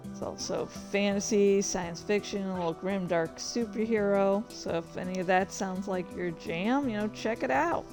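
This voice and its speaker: A woman's voice: mid-range voice, normal speed, music in the background. A woman streamer chatting casually, rambling along with distracted asides. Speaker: streamer and indie author. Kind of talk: casual livestream chat.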